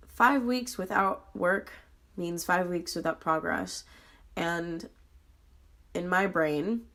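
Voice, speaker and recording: A young woman in her twenties, hoarse and sick, talking earnestly about her struggles in a personal vlog, with frequent pauses and ums. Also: fairly low pitch, fairly bright tone. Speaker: vlogger.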